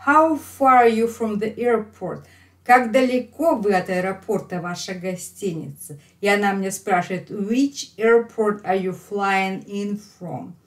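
A middle-aged woman with a Russian accent teaching English, explaining line by line and repeating phrases emphatically for learners. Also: medium pitch. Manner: slow, emphatic repetition for learners. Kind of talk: lesson explaining a phone call line by line.

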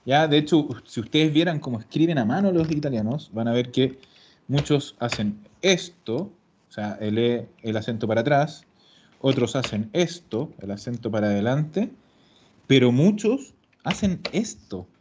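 A man teaching Italian in an online class, patient and explaining slowly, repeating words. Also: mid-range voice, animated, a little mellow, slight background noise. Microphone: video call. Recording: dull lo-fi call audio.

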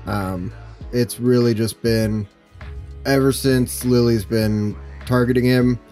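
A man's voice: medium pitch, steady tone, slow, dark tone, close to an everyday voice. A man playing a harried suburban father of young children in an improvised role-play. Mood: weary, apologetic. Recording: music bed under the voice.

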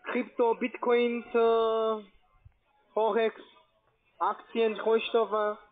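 A man's voice: very high-pitched, flat delivery, extremely slow. A man with a foreign accent in non-native German, making a formal, repetitive scripted sales call as a call center agent. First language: not German. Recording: tinny, band-limited phone line.

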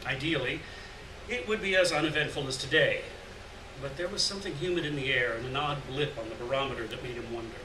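A man's voice: mid-range voice, fast. A middle-aged man giving a live literary reading of scripted story narration in a gallery room. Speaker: story reader. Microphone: stand mic at lectern, picked up by far camera. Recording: roomy, distant mic, noticeable noise.

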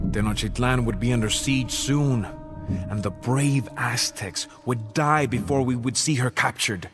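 A man plays a proud Aztec emperor telling of his people's war in a video game cutscene. The delivery is solemn and theatrical, with measured phrasing that rises and falls widely around a mid-range pitch. Loud music plays behind the voice. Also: lightly acted. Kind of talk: dramatic scripted cutscene narration. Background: background music.

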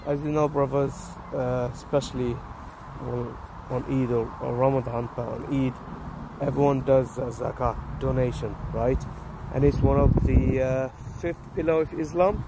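Slightly hoarse guy in his 30s, phone vlog in a park, lots of uhs and restarts, windy noisy outdoor audio. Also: mid-range voice. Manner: halting, many fillers and restarts. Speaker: vlogger. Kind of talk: casual selfie vlog. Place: open grassy park outdoors. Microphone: phone held at arm's length. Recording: outdoor phone audio with wind and traffic noise.

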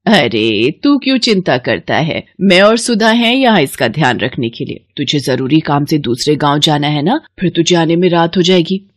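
A woman voicing a scheming, bossy older mother-in-law in an animated moral story, with a commanding, scornful manner. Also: low voice, moderate pace, hardly any pauses, no echo. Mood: falsely caring.

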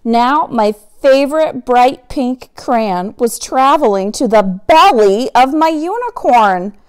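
Very expressive woman reading a picture book. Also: high voice, clean tone. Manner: dramatic, expressive, drawn-out emphasis on key words. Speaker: teacher reading aloud to children. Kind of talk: animated picture-book read-aloud.

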